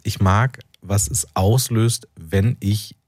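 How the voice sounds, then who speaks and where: A man's voice: on the low side, slow pace, a little mellow, husky, dry recording, clean studio sound. A man from North Rhine-Westphalia, an author speaking reflectively as a radio interview guest, often searching for words. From North Rhine-Westphalia, Germany. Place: radio studio.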